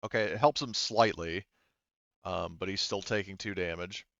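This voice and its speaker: Halting, low-voiced man running a game. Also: gravelly, a bit bright, no echo, dull audio. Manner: halting, thinking aloud; sweary. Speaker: game master. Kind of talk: running a tabletop roleplaying game, casual narration.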